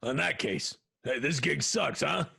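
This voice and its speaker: A man playing a wisecracking, down-on-his-luck new guard in an audio drama, self-deprecating, with a gravelly rasp. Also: a little high, unhurried, pushed and bright, heavily acted.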